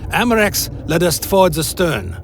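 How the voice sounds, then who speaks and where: A man playing a cursed servant of a lich, narrating a dark fantasy radio play in solemn, theatrical first person. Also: sits high, very animated, moderate pace, slight background noise. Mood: brooding. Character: Alaric the Damned, cursed blood-bound male servant of a lich.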